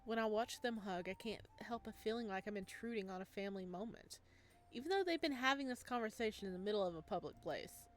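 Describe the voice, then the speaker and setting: A woman's voice: mid-range voice, animated, fast talker, a little hiss or hum, natural voice. A woman voicing an anxious, lonely young male ghost who narrates a visual novel in a hesitant, introspective way. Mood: anxious, subdued. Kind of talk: visual novel read-aloud, first-person narration.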